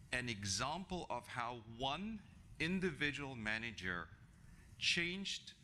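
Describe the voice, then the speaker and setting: Bright-voiced man from South Africa, English second language. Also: mid-range voice, a little hiss or hum. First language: not English. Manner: conversational, many ums and restarts. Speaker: guest speaker. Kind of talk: business talk at a breakfast event. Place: function room with an audience. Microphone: podium microphone.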